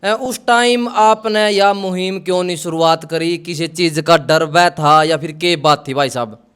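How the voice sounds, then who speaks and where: Haryanvi guy hosting a live interview, clean resonant voice, a bit high pitched. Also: measured pace, a bit bright, clean tone. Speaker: media interviewer. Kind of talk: live call interview in colloquial Hindi.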